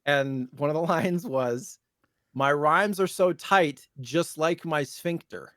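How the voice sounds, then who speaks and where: A man's voice: on the high side, takes it slowly, fairly bright tone, no echo, studio quality. A man co-hosting a podcast in casual chat, telling rambling, joking stories. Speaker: podcast co-host and streamer.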